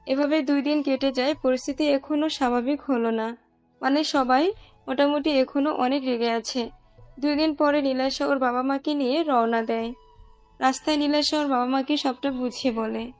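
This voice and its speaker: Female storyteller for romantic audio fiction, high voice, light music bed. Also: fairly even intonation, normal speed, darkish tone, dry recording. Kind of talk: scripted romantic story narration.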